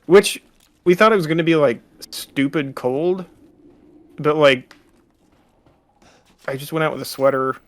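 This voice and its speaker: A man's voice: on the high side, unhurried, pauses often, no echo. A man in his thirties from California, chatting casually about a game in an easygoing way, full of fillers. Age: 30s. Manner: easygoing, filler-heavy, chuckles often. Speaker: co-host watching a game. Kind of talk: casual chat while gaming.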